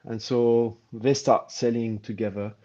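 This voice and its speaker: A man, a film director speaking hesitantly with many pauses on a panel. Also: medium pitch, steady tone, mellow. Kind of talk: casual panel talk. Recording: dull lo-fi call audio.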